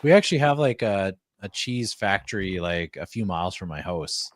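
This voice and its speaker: Low-voiced Canadian man chatting, close and dry. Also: lively intonation, moderate pace, no echo. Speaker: podcast participant. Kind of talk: casual chat with anecdotes.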